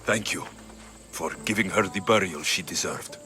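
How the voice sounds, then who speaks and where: A man's voice: sits low, normal speed, sharp tone, soft background music. A man playing a solemn young Greek warrior and protective brother in a cinematic video game, earnest and restrained. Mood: regretful, grateful.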